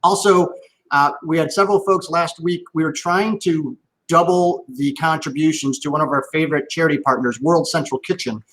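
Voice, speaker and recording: A man's voice: fairly high pitch, steady tone, moderate pace, fairly bright tone, poor audio. A man hosting a live-streamed interview over a video call, upbeat, chatty and jokey. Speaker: host.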